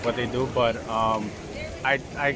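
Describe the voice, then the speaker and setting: A man's voice: medium pitch, steady tone, a little slow. Young man from Mexico, rambling and casual, recorded outdoors with traffic noise. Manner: rambling, many "like" fillers. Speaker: interviewee. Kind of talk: casual street interview. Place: outdoors on a city street. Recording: street noise behind.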